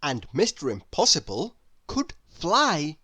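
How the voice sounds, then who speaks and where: A woman's voice: low-pitched, wildly swooping pitch, slow pace, a bit bright, slightly rough, no room sound, studio quality. A woman reading a children's story aloud as narrator. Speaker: storybook reader.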